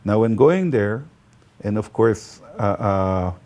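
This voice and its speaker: A man from Israel with an Israeli accent and Hebrew as his first language, talking as a guest and tour guide in a halting way, full of fillers and restarts. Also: lowish voice, animated, a little mellow. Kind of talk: casual conversation.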